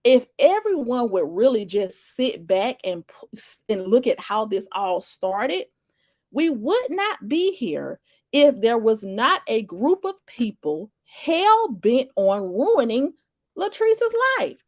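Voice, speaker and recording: A woman talks to her live viewers with a slightly high voice. She rambles emphatically and restarts her sentences often. The sound is dry and close, with no room echo. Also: very animated, takes it slowly, a little mellow. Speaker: livestream commentator. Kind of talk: casual live commentary, greeting viewers in chat.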